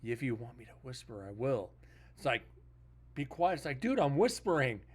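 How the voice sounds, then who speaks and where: A man's voice: medium pitch, sing-song, normal speed, husky. A man reviewing paranormal evidence on a livestream, chatting casually in a blunt, joking way.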